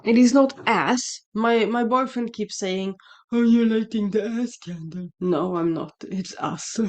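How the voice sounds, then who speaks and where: Slow, rambling Swedish woman, a bit sniffly. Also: fairly high pitch, darkish tone. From Sweden. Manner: rambling, self-correcting, chatty. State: slightly sniffly. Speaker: shamanism vlogger. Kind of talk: casual morning vlog, lighting candles. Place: home indoors, dry room. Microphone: headphones with mic.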